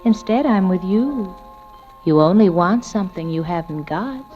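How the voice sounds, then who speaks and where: Slow, low-voiced dream seductress. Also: female voice, lively intonation. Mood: cool, assured. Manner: slow, coaxing, intimate. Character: alluring, self-assured dream seductress, a woman. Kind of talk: 1940s radio drama acting. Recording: muffled old radio recording, faint music.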